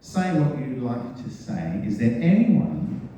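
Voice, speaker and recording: A man hosting a public forum in a town hall, introducing the guests in a relaxed, friendly way. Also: medium pitch, slow, vocal fry, a little hiss or hum. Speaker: forum host. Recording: echoey hall from far off.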